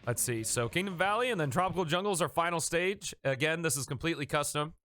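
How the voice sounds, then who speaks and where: A man's voice: a little high, fast, sharp tone, no room sound, soft background music. A man live-streaming a game, chatting casually and thanking viewers by name, a bit sniffly from a coming cold.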